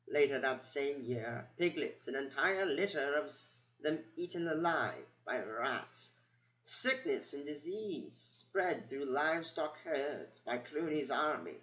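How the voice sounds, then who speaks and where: A man voicing an ancient male mouse scholar who squeaks near a whisper, doddering and forgetful. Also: fairly bright tone, echoey room, highs cut off. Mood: grave.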